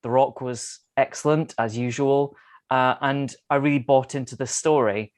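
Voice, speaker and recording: A man talks casually as a podcast panelist, with a mid-pitched voice. He weighs his words and pauses between phrases. The recording is very dry, with hardly any room sound. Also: steady tone, no echo. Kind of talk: casual film discussion.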